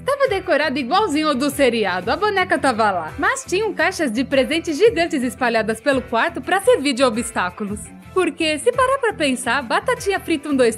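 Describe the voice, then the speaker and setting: A woman with a Brazilian accent narrating a scripted story in an expressive, conversational way. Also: high voice. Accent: Brazilian Portuguese. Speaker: storytelling narrator in a dubbed animation.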